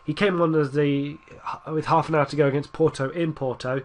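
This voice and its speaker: A young man with a blocked, stuffy nose from a cold talks through his football management game in a loose, rambling way. His voice sits a little high for a man, and he restarts sentences often and cheers his side on as matches play out. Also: fairly even intonation, moderate pace. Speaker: gaming YouTuber. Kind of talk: casual gameplay commentary.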